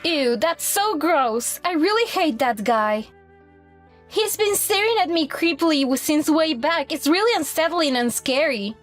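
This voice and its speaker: A woman's voice: high voice, expressive, measured pace, soft background music. A woman voicing a catty, scornful young woman given to exclaiming, in an anime-style story dub. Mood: disgusted.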